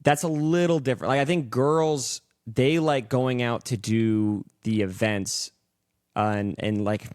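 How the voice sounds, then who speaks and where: A man's voice: mid-range voice, unhurried, a little mellow, no room sound, studio quality. A young adult man hosting a podcast, talking loosely and opinionatedly, with casual profanity. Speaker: podcast host. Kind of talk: casual chat.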